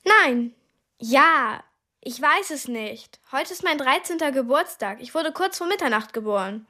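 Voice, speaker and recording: A woman's voice: sits high, animated, measured pace, sharp tone, dry recording, studio quality, full character voice. A woman playing an earnest, determined thirteen-year-old girl in a radio play, speaking in an urgent, direct way. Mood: anxious.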